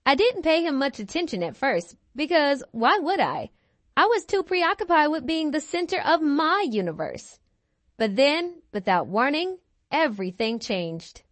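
A woman reading a children's picture book aloud in an expressive, theatrical storytelling style with emphatic phrasing. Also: high-pitched, unhurried, dry recording. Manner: theatrical, clearly articulated, emphatic.

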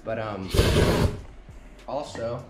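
A young man with a fairly low voice explains practical work in a casual way. He sounds like he is in a roomy, echoing garage, with clear music behind him. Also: very slow. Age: young adult. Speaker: welder at a car workshop. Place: large concrete workshop garage. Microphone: camera held nearby. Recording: echoey garage, music over it.

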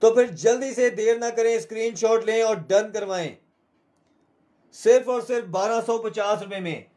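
A man's voice: high voice, moderate pace, a little mellow. A man with a Pakistani accent hosting a live shopping show, selling in a repetitive, urging salesman's manner.

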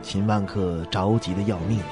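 A man narrating a suspense radio drama in an expressive storytelling style. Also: deep voice, moderate pace, over loud music.

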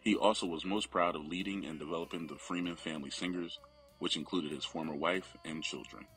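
A man with an extremely deep voice reads a memorial biography as a family member. His words come from a prepared script, with faint music playing behind him. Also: brisk, a bit bright.

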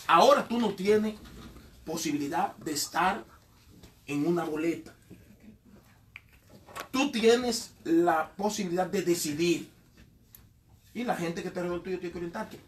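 A man's voice: sits high, normal speed, long pauses, reverberant, recorded from a distance, a little hiss or hum. A man hosting a radio talk show, teasing his guest and then lecturing in long questions. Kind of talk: radio studio interview. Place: radio studio.

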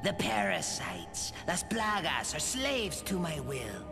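A sinister young game castellan, high and thin. He speaks slowly and theatrically, with grand lively swoops. Loud music plays underneath. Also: vocal fry, exaggerated voice. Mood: haughty, indignant. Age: 20s. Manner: pompous, theatrical, mannered. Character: haughty, sinister, childlike young castellan, male, twenty. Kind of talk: video game villain acting.

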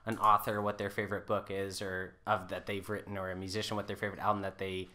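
A man with a fairly low but bright, forceful voice chats as a podcast guest. He is informal and self-deprecating, scattering "like" through his sentences. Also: little pitch movement, quick pace. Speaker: podcast guest, folk musician. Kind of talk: casual chat.

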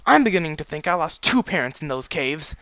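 A man's voice: on the high side, very animated, a little fast, fairly bright tone, no echo, lo-fi sound, voice pushed up. A man voicing a fiery, defiant young mare, a grown daughter who speaks with biting indignation in an audiobook-style story. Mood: bitter.

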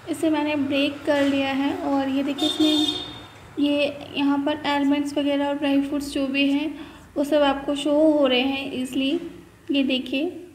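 Woman vlogger, high and even delivery, chatty to-camera review, echoey home room. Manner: chatty, addresses viewers as guys, mixes English phrases. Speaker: food channel host reviewing chocolate. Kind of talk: casual product review vlog in Hindi-English mix. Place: indoors at a table. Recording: echoey room with faint noise.

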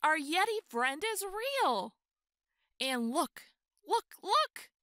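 A high, bright-voiced woman storyteller reading to children. She takes her time, stretching key words and exclaiming with big expression. The sound is close and dry. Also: no room sound. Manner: expressive, exclaiming, drawn-out emphasis. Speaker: storyteller reading a children's picture book aloud. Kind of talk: animated children's read-aloud.

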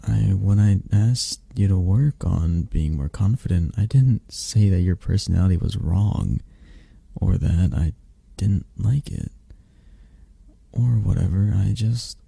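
Very deep, mellow, tender dragon boyfriend played by a man. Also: gravelly, slightly rough, no room sound, heavily acted. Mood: gentle, reassuring. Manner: soft, teasing, reassuring, hesitant pauses. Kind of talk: intimate ASMR roleplay. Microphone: close, dry microphone.

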